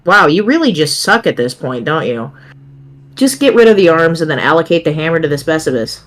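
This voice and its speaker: A woman voicing a cocky, deadpan teenage boy in an amateur dramatic reading of a webcomic. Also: low voice, a little fast. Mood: cool, cocky. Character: cool, cocky teenage boy chatting online, teasing and bragging.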